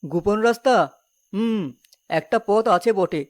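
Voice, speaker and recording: A woman's voice: mid-range voice, a little slow, no echo, clean studio sound, lightly acted. A woman voicing a cautious, superstitious village shopkeeper uncle in a cartoon story, warning and confiding. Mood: cautioning.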